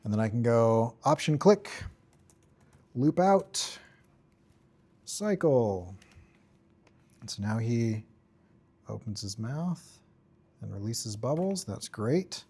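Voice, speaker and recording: Guy doing a screen tutorial, mellow relaxed voice, kinda mumbles thru steps in short bits. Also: medium pitch, slow pace, long pauses, breathy edge. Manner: offhand, talks in short fragments while working. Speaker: tutorial instructor. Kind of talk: informal screen-recorded software tutorial, thinking aloud while working.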